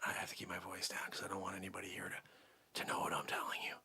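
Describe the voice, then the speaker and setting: Man playing a brash, husky sleazy phone salesman. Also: high-pitched, animated, normal speed, full character voice. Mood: whispering, conspiratorial. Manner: brash, wheedling, repetitive, swinging between whispers and yells. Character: pushy, bragging, sleazy cold-call crypto salesman. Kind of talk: improvised comic sales patter.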